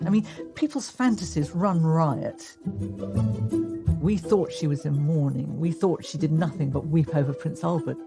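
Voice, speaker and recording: A woman's voice: low-pitched, unhurried. A woman giving wry, colloquial commentary as a documentary interviewee. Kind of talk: conversational commentary. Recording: music clearly behind the voice.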